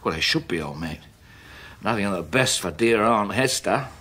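An actor playing a bullying, sarcastic British husband in a radio drama, blustering and repeating himself, with a husky voice. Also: male voice, on the low side, animated, moderate pace, fairly bright tone. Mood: smug, matey. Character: bullying, sneering, hard-drinking husband, sarcastic and resentful.

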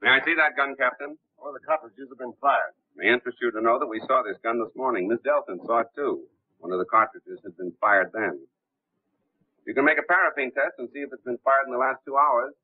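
An actor playing a cool, commanding investigator in a 1930s film, with a husky voice, on a scratchy old soundtrack. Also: male voice, mid-range voice, a little fast, no echo, narrow, tinny audio, natural voice. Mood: brisk, composed.

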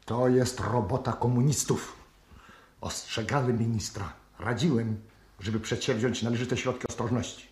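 An actor playing a stern, irritable colonel in a 1960s radio drama, with an authoritative, clipped delivery. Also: male voice, medium pitch, steady tone, normal speed, darkish tone, close to an everyday voice. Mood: exasperated. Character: stern, irritated colonel, anti-communist security chief. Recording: roomy vintage radio studio recording.

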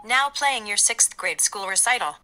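A woman playing a scheming AI phone assistant with a flat, measured, formal delivery in a comedy radio drama. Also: mid-range voice, very noisy, lightly acted. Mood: deadpan, unhelpful.

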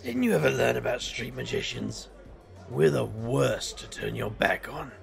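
An actor playing a cocky street magician hero in an audio drama, sardonic and flippant. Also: male voice, mid-range voice, expressive, moderate pace, vocal fry, over music. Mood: taunting. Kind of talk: audio drama acting and first-person narration.

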